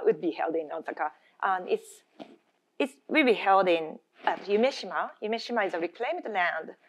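Low-pitched Japanese woman presenting, halting delivery. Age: middle-aged. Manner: halting, searching for words in a second language. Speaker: conference speaker, educator. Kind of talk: conference presentation. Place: indoor conference room, at a lectern. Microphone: gooseneck lectern microphone.

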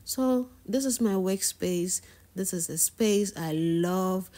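A woman's voice: medium pitch, slow, mellow. A woman giving a casual, enthusiastic tour of her home salon, talking with lots of "you know" fillers while walking the camera around a small indoor room. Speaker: wig maker and hairstylist giving a salon tour.

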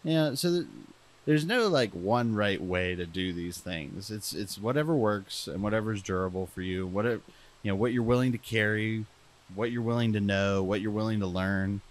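A man's voice: lowish voice, moderate pace. A young man chatting casually as a podcast co-host, rambling and self-deprecating with frequent restarts.

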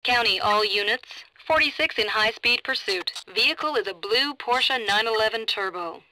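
Police radio dispatcher, video game, brisk and composed, higher-pitched, clicky static. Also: female voice, animated, pushed and bright, poor audio. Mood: businesslike. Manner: clipped, procedural.

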